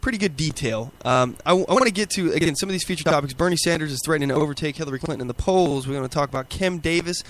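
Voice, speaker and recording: A man hosting a casual political podcast while sick and losing his voice, halting and repeating words often. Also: a little high, fairly bright tone. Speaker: podcast host. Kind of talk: casual political talk show.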